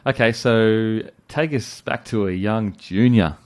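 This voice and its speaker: A man's voice: lowish voice, a little slow. A young man hosting a casual interview, swearing freely and bantering. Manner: swearing, laid-back banter. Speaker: podcast host.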